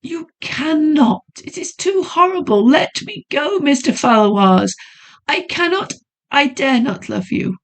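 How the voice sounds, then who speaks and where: A woman's voice: high voice, lively intonation, a little slow, pitched above the performer's own voice. A woman voicing a haunted young noblewoman who pleads breathlessly and trembles with fear. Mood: anguished. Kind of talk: audiobook character voice.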